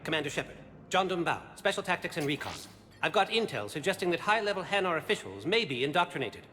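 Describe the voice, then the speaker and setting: A man's voice: fairly high pitch, sing-song, fast, pushed and bright. A man voicing an intense, confident alien special agent in a video game, clipped and formal in delivery. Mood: urgent, formal. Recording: long echoing reverb, background noise.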